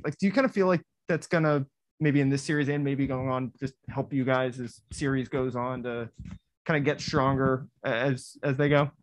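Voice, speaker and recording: A male reporter asking a long, loosely strung question at a press conference. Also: mid-range voice.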